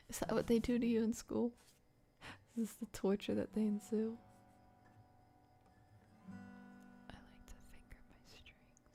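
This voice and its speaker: A soft-voiced woman chatting playfully and casually to viewers on an ASMR livestream, often dropping into a whisper. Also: on the high side, level tone, takes it slowly, gravelly, pauses often, over music. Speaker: ASMR live streamer.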